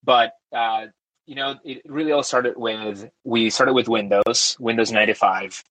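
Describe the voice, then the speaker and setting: A young man from Argentina, a guest talking casually in an interview, relaxed and talkative with frequent fillers and restarts. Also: mid-range voice, a little slow, poor audio. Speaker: guest, tech founder.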